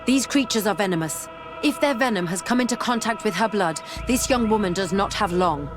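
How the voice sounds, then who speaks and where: Fantasy game scholar, female actor: medium-pitched, earnest, courteous, level-headed, faint music underneath. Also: expressive, fairly quick, natural voice. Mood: urgent, reassuring. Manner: polite, clear-headed, sincere. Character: earnest, composed female scholar skilled in potions. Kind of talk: scripted video game acting.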